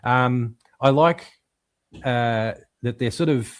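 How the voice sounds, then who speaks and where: A male podcast co-host chatting casually about comics, halting and full of ums and restarts but enthusiastic. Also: mid-range voice, no room sound.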